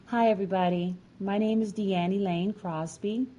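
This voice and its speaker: A woman storyteller delivering a scripted personal narration with measured, formal phrasing. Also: mid-range voice, fairly even intonation, warm tone, a little hiss or hum. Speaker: featured storyteller and sign language educator.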